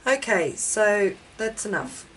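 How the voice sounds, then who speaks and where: Australian woman, unhurried pace, slightly raspy from a chest cold, casual craft-video chat, rambling, self-deprecating, faint background noise. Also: medium pitch, big pitch swings, a bit bright, rough recording. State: ill with a chest infection. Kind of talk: casual chatty craft demonstration.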